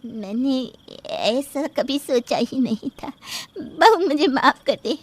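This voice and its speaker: A woman's voice: high-pitched, expressive, slow pace, slightly rough, dry recording, full character voice. A woman playing a fretful, plaintive older mother-in-law in a cartoon story, easily upset. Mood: contrite. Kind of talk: animated cartoon story acting.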